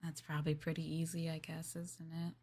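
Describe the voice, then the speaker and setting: A woman voicing a polite, eager young maid in an improvised roleplay ASMR stream, speaking softly and a little hesitantly to her master. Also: low-pitched, monotone, normal speed, breathy edge. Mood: gently agreeable. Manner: soft, polite, hesitant, apologetic, eager to please. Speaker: VTuber streamer playing a maid for live chat.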